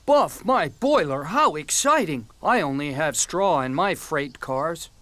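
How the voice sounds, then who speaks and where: Bouncy, friendly cartoon engine. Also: female voice, low-pitched, lively intonation, unhurried, fairly bright tone, dry recording. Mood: cheerful. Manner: cheerful, exclamatory. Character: friendly, exclamatory male steam engine in children's cartoon. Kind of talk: children's cartoon character acting.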